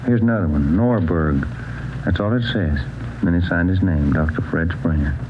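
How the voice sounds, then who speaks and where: An actor playing a plain-spoken police detective, the sergeant's partner, in a terse, conversational 1940s radio drama style. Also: male voice, sits low, moderate pace, background noise, close to an everyday voice. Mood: puzzled, examining. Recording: muffled vintage radio, music behind.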